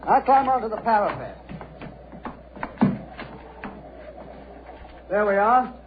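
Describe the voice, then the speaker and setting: An actor playing an arrogant, impatient young gentleman in a 1940s radio drama. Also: high-pitched, sing-song, a little fast. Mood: confident. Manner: haughty, impatient. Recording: muffled, noisy 1940s radio broadcast.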